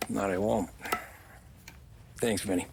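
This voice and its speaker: A man's voice: on the low side, steady tone, takes it slowly, gravelly, very noisy. A man playing a tough, guarded mafia henchman in a video game, laconic and matter-of-fact in his gangster talk. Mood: businesslike. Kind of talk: dramatic video game acting.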